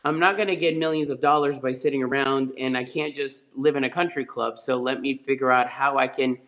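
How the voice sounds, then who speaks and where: A man's voice: medium pitch, fairly even intonation, a little fast, a bit bright. A middle-aged man, a real estate agent who grew up in Spain, telling stories from his career on a webinar in a rambling way, with many fillers and restarts, over a video call. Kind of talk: webinar guest storytelling.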